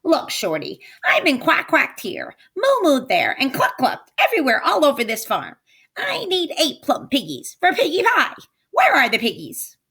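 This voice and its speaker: A woman's voice: high-pitched, wildly swooping pitch, normal speed, bright, forceful tone, voice pushed up, exaggerated voice. A woman voicing an irascible, scheming storybook witch, bossy and insulting, with a screechy voice. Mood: bossy, demanding. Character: irascible, greedy, scheming witch, shrieking when thwarted. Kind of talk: animated storybook character voice.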